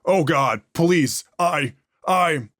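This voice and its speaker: A man's voice: medium pitch, measured pace, a bit bright, no room sound, clean studio sound. Foul-mouthed prisoner, voiced by a man, agitated and profane, in a horror audio drama. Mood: panicked. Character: foul-mouthed, defensive male prisoner under interrogation.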